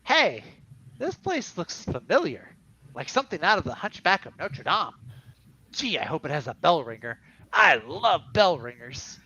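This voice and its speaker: Wisecracking superhero husband acted by a man, flirty and chatty, extremely animated, bright but lo-fi amateur comic reading. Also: a little high, normal speed, a little hiss or hum. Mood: joking. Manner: jokey, chatty.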